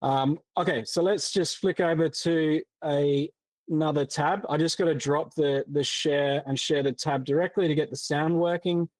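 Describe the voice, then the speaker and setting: A man with a fairly high voice walks through a coding demo in a loose, unscripted way, full of ums and restarts. The sound is dry and close. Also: fairly even intonation, moderate pace, darkish tone, dry recording, rough recording. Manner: casual, many fillers and restarts. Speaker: presenter. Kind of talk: explaining a tech demo.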